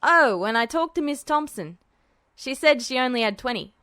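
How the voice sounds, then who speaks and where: A woman's voice: sits high, expressive, normal speed, sharp tone, clean tone, dry recording. A woman voicing a businesslike male lieutenant reporting to his superior in a storybook reading.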